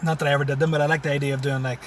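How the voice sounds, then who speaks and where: A man's voice: on the high side, little pitch movement, racing, far from the mic, a little hiss or hum. A man in his thirties with a Tyrone accent, chatting casually in a car as a comedian guest and chuckling often. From Syria, raised in Tyrone. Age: 30s. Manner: laid-back, chuckles often. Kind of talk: casual interview chat. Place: inside a parked car. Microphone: phone.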